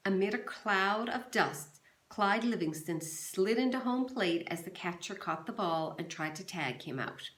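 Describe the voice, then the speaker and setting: A woman teacher reading a novel aloud to her class in a calm, steady storytelling manner, recorded in a roomy, echoey space. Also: medium pitch, a little slow, few pauses. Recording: roomy echo.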